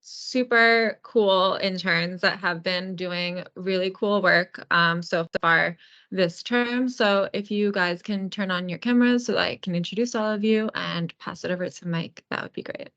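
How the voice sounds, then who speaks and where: A woman's voice: lowish voice, a little slow, fairly bright tone, no room sound. A woman introducing an online panel, enthusiastic and upbeat. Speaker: program staff introducing the panel. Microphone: video call. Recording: dry, dull video call audio.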